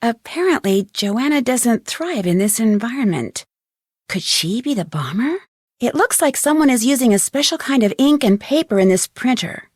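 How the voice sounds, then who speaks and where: A woman playing a plucky, curious young detective in a video game, thinking aloud with clear diction. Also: on the high side, lively intonation, moderate pace, darkish tone, no room sound. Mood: deducing. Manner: inquisitive, clearly enunciated musing.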